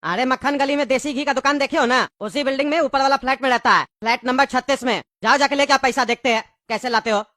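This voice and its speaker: A grumbling cartoon pumpkin father, dismissive and forever scolding. The voice is high, sharp and rapid. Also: dry recording, clean studio sound. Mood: exasperated. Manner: scolding, dismissive, fast-talking. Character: exasperated, grumbling pumpkin father, adult male. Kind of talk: Hindi cartoon dialogue.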